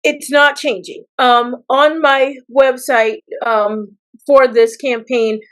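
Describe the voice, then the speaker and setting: An American woman from South Carolina answering interview questions as a political candidate, speaking in deliberate phrases with pauses. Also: high voice, fairly even intonation, fairly bright tone, poor audio. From South Carolina, United States. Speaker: political candidate, attorney and former judge.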